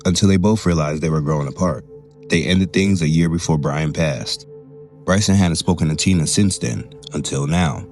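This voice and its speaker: A man narrating a scripted drama in short, measured, dramatic phrases. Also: low-pitched, a little mellow. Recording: music behind the voice.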